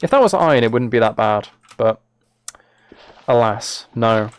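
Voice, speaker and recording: A man's voice: medium pitch, expressive, measured pace, clear, resonant tone. A young British man chatting casually on a gaming livestream, with a wry, playfully exasperated way of talking. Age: late teens to early 20s. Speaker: live streamer.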